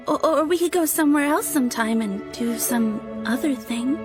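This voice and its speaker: A woman's voice: high-pitched, moderate pace, music in the background, natural voice. A performer, heard as a woman, voicing an awkward, shy young cartoon kid with a hesitant, rambling way of talking. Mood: nervous, hopeful.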